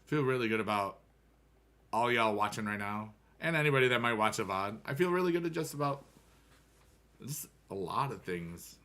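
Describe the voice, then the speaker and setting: Man, thirties, american, casual stream chat, bright tense tone, lowish voice. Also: lively intonation, moderate pace. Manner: rambling, playful rhymes, upbeat.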